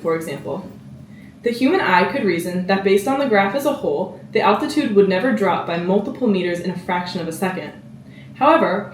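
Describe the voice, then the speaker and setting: A teenage girl from Brooklyn, New York, reading a scripted student research presentation. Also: medium pitch. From Brooklyn, New York, United States. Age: teens. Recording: echoey, distant mic, background noise.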